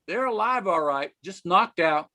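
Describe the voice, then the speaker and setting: Guy acting a tough old-time radio cop, excitable, voice jumps up and down a lot. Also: high-pitched, a little slow, heavily acted. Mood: excited. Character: excitable tough male police officer.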